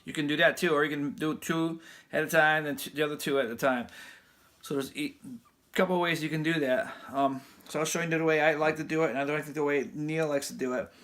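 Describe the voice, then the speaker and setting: A man with a fairly high voice giving a casual guitar lesson in a loose, rambling way. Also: steady tone, fairly quick, background noise. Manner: loose, rambling, restarts often. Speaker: guitar teacher. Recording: guitar playing loud behind him.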